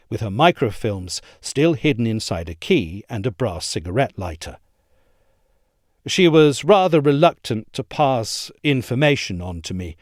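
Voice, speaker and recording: A man giving a measured, articulate scripted narration of a history essay. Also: medium pitch, darkish tone, no echo, studio quality. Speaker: essay reader.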